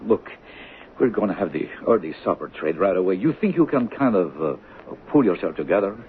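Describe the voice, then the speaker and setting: Animated, baffled lunch-counter guy. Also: sits low, fairly quick. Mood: sympathetic, coaxing. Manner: colloquial, animated. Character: baffled, blunt, kindly lunch-counter man. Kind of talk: radio drama acting. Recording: tinny, noisy 1970s radio recording.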